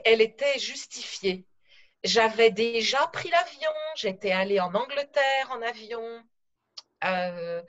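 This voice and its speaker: A middle-aged woman recalling her travels in a slow, careful storytelling manner over a video call from her living room. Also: fairly high pitch, fairly bright tone, lo-fi sound. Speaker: interview guest. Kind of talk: conversational podcast interview.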